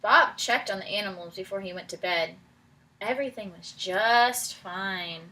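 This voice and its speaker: A woman reading a picture book aloud to children as their teacher, pausing to prompt them with questions in an encouraging way. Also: mid-range voice, pushed and bright, a little hiss or hum, rough recording. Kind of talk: read-aloud storytelling with questions to young listeners.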